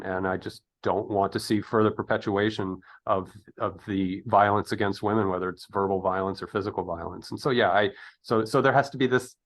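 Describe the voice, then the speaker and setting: A man's voice: on the low side, a bit bright. A middle-aged man in a reflective interview conversation, speaking earnestly and hesitantly as he searches for his words. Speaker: interview host.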